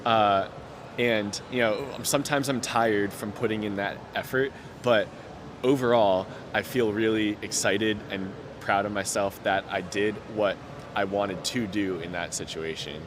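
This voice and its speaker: A man's voice: on the low side, steady tone, unhurried. A young man who stutters, telling his personal story in an earnest, open way, with frequent repeated sounds, broken-off words and many fillers. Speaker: interviewee. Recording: noticeable outdoor background noise.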